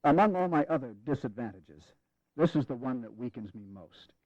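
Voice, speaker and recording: Guy playing a serious courtly revolutionary general in a history audio play, measured formal talk, kinda dull lofi dry sound. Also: medium pitch, animated, a little mellow, dry recording, natural voice. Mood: wry, grave. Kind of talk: dramatized history audio acting. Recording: dull, lo-fi 1980s audio drama.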